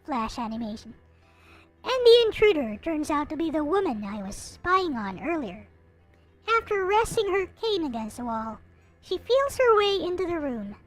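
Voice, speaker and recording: A woman streaming, chatting casually and reading a visual novel aloud in an expressive manner. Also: high voice, normal speed. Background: music.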